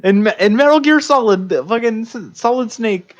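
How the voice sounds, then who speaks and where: A man's voice: very high voice, normal speed. A man co-hosting a podcast, chatting casually in a joking, teasing manner. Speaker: podcast host. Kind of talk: casual chat. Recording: slightly dull, lo-fi voice audio.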